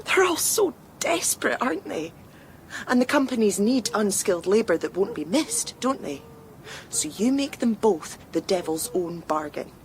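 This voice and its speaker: A woman's voice: big pitch swings, moderate pace. An actress playing a defiant Victorian woman in a gothic radio drama, biting and sarcastic towards a villain. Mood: scornful. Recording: faint rumbling background noise.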